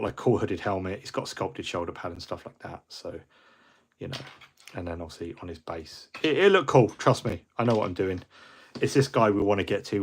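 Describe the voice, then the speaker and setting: A middle-aged man rambles through a casual livestream wrap-up, full of ums and false starts. His voice is husky and sits a little low. He sounds a bit fluey. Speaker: hobby livestream host.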